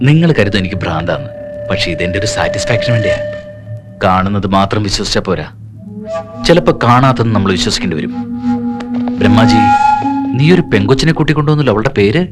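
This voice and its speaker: An actor dubbing a sly, menacing gangster boss who talks mockingly and drops into English, with film music behind. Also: male voice, lowish voice, animated, fast, pauses often, close to an everyday voice. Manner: mocking, toying, mixes in English. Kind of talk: dubbed film acting. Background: film score music.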